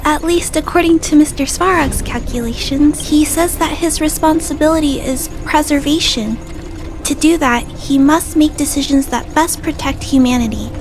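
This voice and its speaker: A small girl with a very high voice, shy, trusting and earnest. She speaks politely and haltingly, as in a game cutscene, with music clearly underneath. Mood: earnest, apologetic. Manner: soft-spoken, hesitant, polite, stammering. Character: gentle, timid little girl, earnest and trusting. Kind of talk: video game cutscene voice acting.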